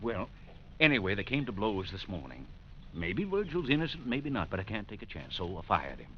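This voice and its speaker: An American actor playing a folksy, blustering, anxious guest-ranch owner with a hearty, fussy manner in an old radio drama. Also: male voice, lowish voice, very animated, brisk. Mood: anxious, pleading. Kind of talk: 1940s-50s American radio drama acting. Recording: dull, noisy vintage radio recording.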